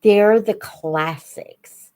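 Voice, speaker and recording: An older woman chatting casually as a live host for young viewers, in an unhurried, reflective, folksy manner. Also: medium pitch, a little mellow. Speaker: host of a children's book read-aloud channel.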